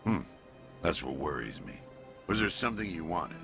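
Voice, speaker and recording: Brooding superior: extremely deep male voice, grave, wary, music clearly behind. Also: big pitch swings, moderate pace, heavily acted. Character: grave, doubtful, brooding male authority figure. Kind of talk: video game dialogue acting.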